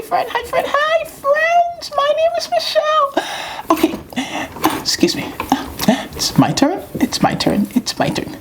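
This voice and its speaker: A woman's voice: very high voice, vocal fry, background noise, exaggerated voice. A woman voicing an earnest, dramatic little pre-K girl puppet in a children's puppet show, with emphatic, repetitive delivery. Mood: excited greeting.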